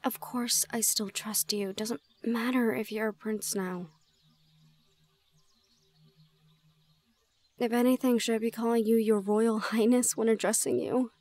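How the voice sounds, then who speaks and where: A woman playing a shy, easily flustered young gorgon student in an audio roleplay, speaking in halting, exclamatory questions. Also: a little high, fairly even intonation, darkish tone, natural voice. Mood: nervous, teasing. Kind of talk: audio roleplay drama acting.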